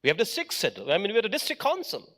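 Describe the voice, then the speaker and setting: Animated man giving a speech. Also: sits high, very fast. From Meghalaya, India. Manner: emphatic, lists points in short phrases. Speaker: official speaker at an education event. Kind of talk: formal speech to an audience.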